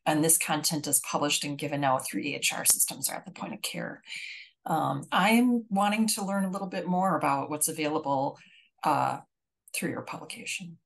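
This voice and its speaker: A woman who manages a team of editors, introducing herself haltingly with many uhs on a video call. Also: deep voice, creaky, slight background noise.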